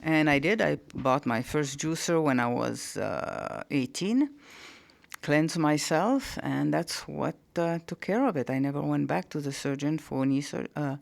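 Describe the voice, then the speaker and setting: A woman's voice: deep voice, lively intonation, unhurried, vocal fry. A woman in her sixties from French-speaking Switzerland, with a mixed French and Indian English accent, telling her story as a guest in an interview. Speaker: guest, naturopathic doctor. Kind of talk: interview conversation.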